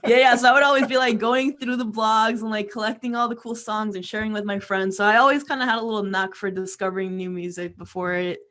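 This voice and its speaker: A woman's voice: medium pitch, fairly even intonation, fast talker, hardly any pauses, fairly bright tone. A young woman from Peru and Florida chatting informally as an interview guest, often saying "like" as she tells her story. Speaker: interview guest, music career coach.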